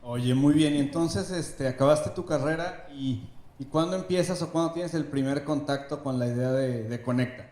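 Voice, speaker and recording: A man's voice: a little high, moderate pace, a little mellow. A man hosting an onstage interview at a startup event, casual with fillers. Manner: casual, uses fillers like "este". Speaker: interview host. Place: event stage before an audience. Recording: echoey room.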